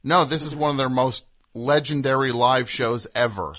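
A man hosting a comedy radio show in an easy, conversational style. He speaks at a medium pitch, as if bantering with a guest. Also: steady tone, normal speed, a bit bright. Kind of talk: casual comedic radio chat.

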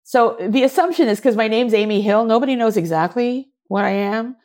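A woman actor being interviewed, telling lively stories, often laughing and briefly acting out voices. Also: fairly high pitch, fairly quick. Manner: animated, laughing, acts out voices and gestures. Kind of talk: conversational interview storytelling.

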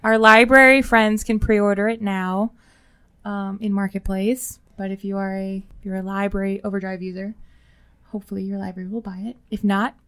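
A woman's voice: mid-range voice, little pitch movement, normal speed, a bit bright, clean tone. Informal, enthusiastic woman chatting about books. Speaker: podcast co-host. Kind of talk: casual book chat.

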